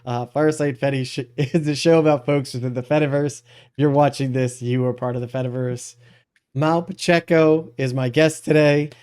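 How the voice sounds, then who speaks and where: Smooth-voiced man hosting a casual show. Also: mid-range voice, fairly even intonation, normal speed, darkish tone. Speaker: podcast host.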